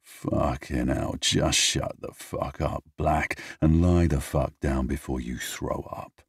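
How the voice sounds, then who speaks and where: A man's voice: a bass voice, takes it slowly, gravelly, husky, exaggerated voice. A man voicing a tired male friend who talks in a dry, mildly mocking way. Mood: weary.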